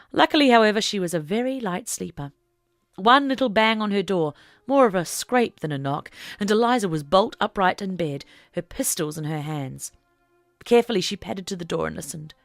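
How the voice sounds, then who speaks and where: A woman reading scripted fiction as an audiobook narrator, in an expressive, deliberate storytelling manner. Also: fairly low pitch, gravelly, dry recording, clean studio sound.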